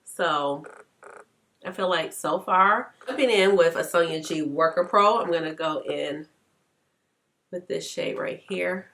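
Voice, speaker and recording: A woman's voice: fairly low pitch, a little slow, fairly bright tone, slight background noise. A congested woman with a stuffy nose, chatting casually through a makeup tutorial and laughing often. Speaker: beauty vlogger.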